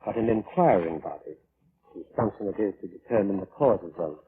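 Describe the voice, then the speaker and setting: A man playing an unflappable, courteous and quietly ominous coroner in a 1940s radio drama, speaking formally and precisely. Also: sits low, lively intonation, moderate pace. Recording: muffled vintage radio recording.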